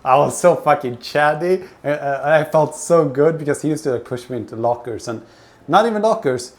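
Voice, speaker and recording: A man from Sweden chatting casually on a livestream from his kitchen, telling personal stories in a rambling, halting, sweary way. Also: a little high, lively intonation. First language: not Swedish; grew up with several languages. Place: home kitchen while cooking. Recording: clear kitchen noise, frying food. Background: frying food, kitchen ambience.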